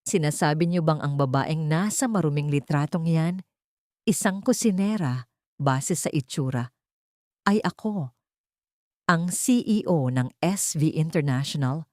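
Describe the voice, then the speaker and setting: A woman's voice: low voice, animated, a little slow, long pauses, a little mellow, no echo, studio quality. A woman voicing a poised, mocking female executive with a cold, cutting manner in an audio drama. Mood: cool disdain.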